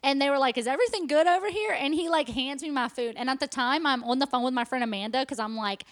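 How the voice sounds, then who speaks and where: Casting note: young woman, high voice, podcast host, chatty storyteller, dry close mic. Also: fast talker, no echo. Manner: chatty storytelling, filler likes. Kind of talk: casual chat.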